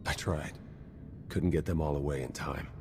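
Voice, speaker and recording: An actor playing a pragmatic, gruff soldier aboard a starship in a video game, talking bluntly and with urgency. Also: male voice, very deep voice, steady tone, normal speed, in a large hall. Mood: regretful. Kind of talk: video game cutscene acting. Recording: game music under the voice.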